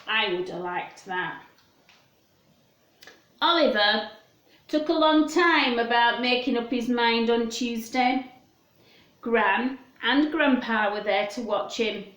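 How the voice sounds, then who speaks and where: Slow, high-voiced woman reading to children. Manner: animated, gently questioning, addressing small children. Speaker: children's storyteller. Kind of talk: expressive children's story reading with asides to young listeners. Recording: echoey room.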